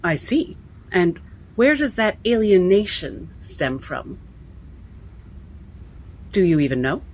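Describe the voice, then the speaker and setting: Husky, probing woman acting as a female interrogator. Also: lowish voice, sing-song, heavily acted. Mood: cool, probing. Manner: measured, formal, pointed questioning. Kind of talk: cinematic period drama acting.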